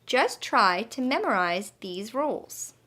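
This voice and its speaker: A kindly female butterfly from a children's storybook, read by a woman. She teaches patiently and encouragingly in a high, bright voice, taking her time over each point. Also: big pitch swings, takes it slowly, a little hiss or hum, full character voice. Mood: instructive. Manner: instructive, encouraging, emphatic.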